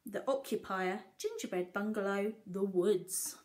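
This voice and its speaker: A woman's voice: medium pitch, measured pace, darkish tone, slight background noise. A woman teacher reading a picture book aloud to young children, expressively, with coaxing questions to the listeners. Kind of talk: animated picture-book read-aloud with asides.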